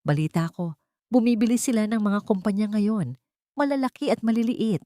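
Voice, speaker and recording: A woman's voice: medium pitch, expressive, moderate pace, dark tone, no room sound, studio quality, pitched above the performer's own voice, close to an everyday voice. A woman voicing a man's well-informed friend in a story, confiding and urging.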